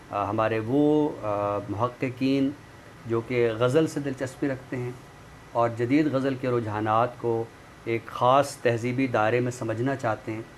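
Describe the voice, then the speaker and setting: Male literary commentator, mid-pitched, formal and measured delivery, some background noise on the recording. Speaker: literary commentator and guest expert. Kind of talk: literary talk and book review with some poetry recital. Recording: clearly noisy background.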